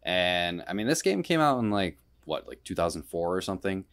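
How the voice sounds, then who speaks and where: A man's voice: low-pitched, very animated, fairly quick, fairly bright tone, clean tone. A young man chatting casually about video games as a podcast host, in a rambling way full of hedges.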